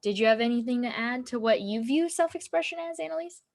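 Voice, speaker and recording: A woman's voice: on the high side, fast talker, a little mellow. Chatty woman podcast host. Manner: chatty, with "um" and "like" fillers. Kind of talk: casual podcast chat.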